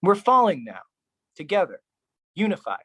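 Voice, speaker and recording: A solo performer delivering a poetic monologue in a theatrical, deliberate way, with dramatic pauses and repetition. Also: mid-range voice, wildly swooping pitch, fairly bright tone, slightly rough.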